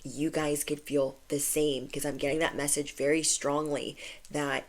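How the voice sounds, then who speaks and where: A woman's voice: sits low, little pitch movement, unhurried. A woman giving a casual channeled spiritual message to her viewers, chatty and affirming, with lots of "like". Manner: chatty, affirming, says "like" often. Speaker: spiritual reader.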